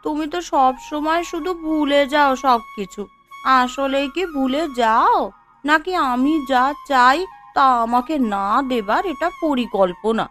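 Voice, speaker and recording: A woman's voice: high voice, a little slow, a bit bright, faint music behind. A woman voicing a well-off, demanding, haughty wife in a cartoon, with a scolding, boastful way of talking. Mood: nagging. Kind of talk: Bengali cartoon dialogue.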